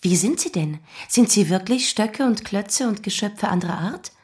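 A woman's voice: mid-range voice, animated, normal speed, darkish tone, lightly acted. Actress playing an insinuating, mock-innocent lady's companion. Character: sardonic thirtyish lady's companion feigning meek ignorance. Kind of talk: German radio drama acting.

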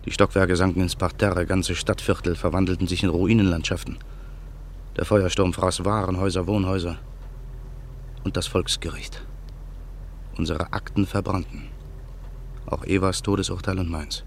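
A man's voice: low-pitched, little pitch movement, normal speed, vocal fry, pauses often, a little hiss or hum. An actor playing a vengeful man who broods in a grim inner monologue in a radio drama. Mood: brooding. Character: vengeful man waiting in a car at night. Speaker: narrator and protagonist. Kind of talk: 1950s radio drama acting.

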